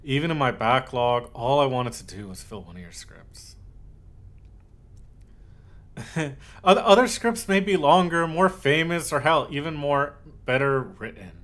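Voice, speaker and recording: A man acting out an obsessive, lovestruck voice actor in an intimate ASMR roleplay, speaking in a tender, doting and halting way. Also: mid-range voice, expressive, fairly bright tone. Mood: passionate, adoring. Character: obsessive lovestruck male yandere voice actor, soft and possessive.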